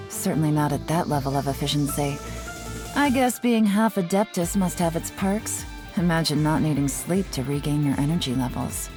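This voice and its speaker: A woman voicing a cool, shrewd, teasing intelligence agent in a video game, speaking in a composed, measured, sly manner. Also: on the low side, lightly acted. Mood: composed, appraising. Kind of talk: scripted video game character monologue.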